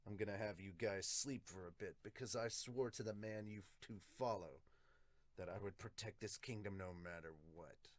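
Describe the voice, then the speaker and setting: A man voicing a stern, coolly menacing knight in a manga dub, with a measured, solemn delivery. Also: on the low side, no room sound, pitched below the performer's own voice, lightly acted. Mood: solemn resolve.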